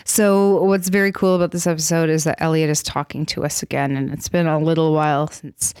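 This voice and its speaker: A woman's voice: low voice, little pitch movement, fairly quick, darkish tone, clean tone, dry recording. A woman in her thirties co-hosting a podcast, a comedian with a dry, wry manner in casual chat. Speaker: podcast co-host, stand-up comedian.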